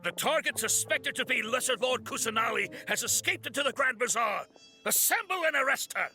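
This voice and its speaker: A man's voice: very high-pitched, expressive, fairly quick, creaky, bright, forceful tone, faint music behind, exaggerated voice, low-quality recording. A man voicing an aggressive, alarmed city guard in a game, urgent and indignant as he barks. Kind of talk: dramatic game voice acting.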